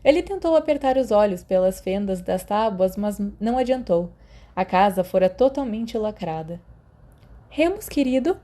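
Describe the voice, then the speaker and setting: A woman's voice: medium pitch, lively intonation, moderate pace, dark tone. A woman with a Brazilian accent reading a story aloud as a scripted narration. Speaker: audiobook narrator.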